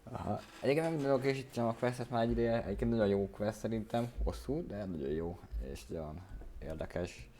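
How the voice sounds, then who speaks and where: A man's voice: medium pitch, fast talker, mellow, slight background noise. A man giving casual livestream commentary as a game streamer.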